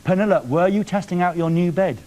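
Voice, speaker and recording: A man's voice: high voice, fairly quick, a little mellow, slight background noise, rough recording. A man hosting a live TV show, teasing a caller on the phone with cheeky questions. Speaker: TV presenter. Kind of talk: live TV prank phone call. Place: TV studio.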